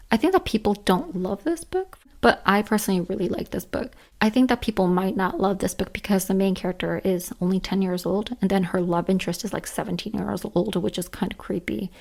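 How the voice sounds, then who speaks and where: A woman with a tired, hoarse voice talks casually and earnestly through a list of favorites, repeating words for emphasis. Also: mid-range voice, darkish tone. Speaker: book reviewer, channel host. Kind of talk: casual list-style book and manga chat.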